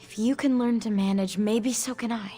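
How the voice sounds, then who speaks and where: A woman's voice: on the high side, steady tone, moderate pace, mellow, soft background music, natural voice. A woman playing a guarded, earnest young mutant outcast in video game dialogue, speaking casually and candidly with some hesitation. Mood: earnest, surprised.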